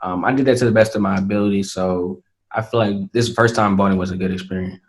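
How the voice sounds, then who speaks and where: A man's voice: low voice, fairly even intonation. Earnest eighteen-year-old man. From Atlanta, Georgia, USA. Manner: earnest, measured, frequent fillers. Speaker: interview guest, young entrepreneur. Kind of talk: casual interview talk.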